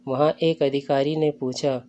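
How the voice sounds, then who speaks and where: A man's voice: mid-range voice, little pitch movement, unhurried, warm tone. A man reading a scripted story as a narrator. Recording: dull lo-fi recording.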